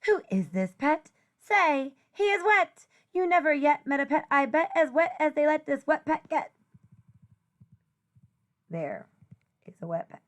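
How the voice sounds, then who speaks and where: A woman teacher reading a rhyming children's picture book aloud in an expressive, sing-song way. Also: high-pitched, a little slow, long pauses.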